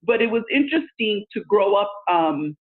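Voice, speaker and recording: A woman's voice: medium pitch, lively intonation, normal speed. A woman in her forties whose first language is Spanish, earnestly answering interview questions with frequent fillers. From United States. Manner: earnest, thoughtful, many fillers. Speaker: guest, education leader. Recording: tinny phone line.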